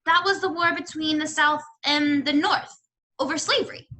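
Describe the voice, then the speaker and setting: A woman's voice: high-pitched, steady tone, moderate pace, sharp tone, voice pushed up. A woman voicing a polite, curious young girl, the earnest heroine of a children's storybook.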